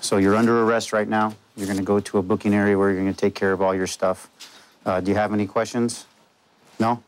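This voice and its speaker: Low-voiced deputy talking fast. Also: male voice, level tone, slight background noise. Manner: matter-of-fact, procedural. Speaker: sheriff's deputy. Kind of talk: explaining the operation and questioning an arrestee. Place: hotel room, indoors.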